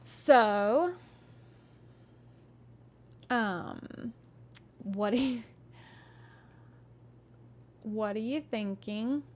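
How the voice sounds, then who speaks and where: Woman, live-stream book reading, fairly high voice, chatty, friendly, lots of ums. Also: a little mellow.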